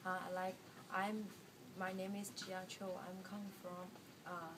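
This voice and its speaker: A young woman from China with a Chinese accent, giving a class seminar presentation in a halting way with frequent restarts. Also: fairly low pitch, little pitch movement. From Guiyang, Guizhou, China. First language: Mandarin Chinese. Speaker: student presenter. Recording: distant mic with room noise.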